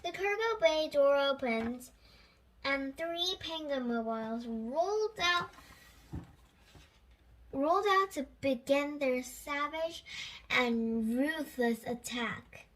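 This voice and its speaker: Child reader, storybook narration, high voice, slow careful pace, occasional stumbles. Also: lively intonation, fairly bright tone, slight background noise, rough recording. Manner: careful oral reading with repeated words and stumbles. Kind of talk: reading a children's storybook aloud.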